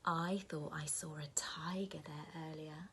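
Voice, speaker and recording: A woman doing a hushed, playful grandma. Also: mid-range voice, lively intonation, a little slow, slightly rough. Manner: soft, hushed.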